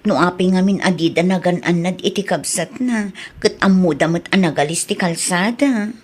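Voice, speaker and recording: A woman's voice: lowish voice, normal speed, a little mellow, slightly rough. A woman playing a coy, chatty village woman.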